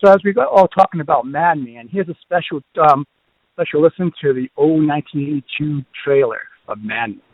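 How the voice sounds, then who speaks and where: A man's voice: on the high side, fairly quick, no room sound. A man with a Boston accent hosting a podcast, chatting casually through reviews with lots of ums and uhs. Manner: rambling, many ums and uhs. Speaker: podcast host. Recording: dry, phone-like lo-fi sound.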